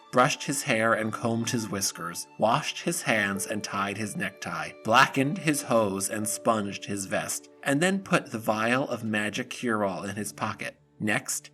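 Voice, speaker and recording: A man narrating a scripted fairy tale for an audio drama in a theatrical, measured storytelling manner. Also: lowish voice, fairly bright tone.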